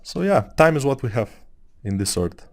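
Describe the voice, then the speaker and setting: A man's voice: mid-range voice, sing-song, normal speed, darkish tone. A man in his thirties from Bulgaria, with a Bulgarian accent, talking through a 3D sculpting tutorial in a rambling, musing way with many fillers. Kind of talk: casual tutorial talk over screen work.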